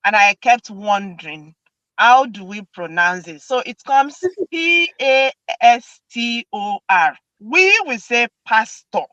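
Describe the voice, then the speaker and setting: A woman's voice: on the high side, slow, no echo, highs cut off. A woman from Nigeria with a Nigerian accent, hosting a casual chat and laughing often. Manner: laughs often, animated and chatty. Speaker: host.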